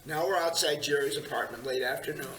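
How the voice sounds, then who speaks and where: A woman's voice: sits low, quick pace, a bit bright. A woman narrating a screenplay at a table read, plainly reading the stage directions with small stumbles. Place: large meeting room. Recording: echoey room, distant mic, faint noise.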